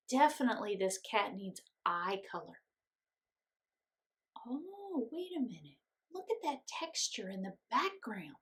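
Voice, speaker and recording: A woman leads a children's art lesson one step at a time, in a fairly high voice. Her pitch moves a great deal, and she takes her time between simple, reassuring instructions. Her phrasing is encouraging and aimed at young learners. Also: a bit bright. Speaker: art teacher.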